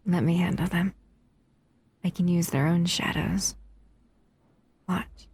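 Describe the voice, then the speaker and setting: A woman playing a gentle, protective shadow monster in an intimate audio roleplay, speaking softly and affectionately to the listener. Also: fairly low pitch, steady tone, slow, husky, dry recording, heavily acted. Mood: hushed, confident.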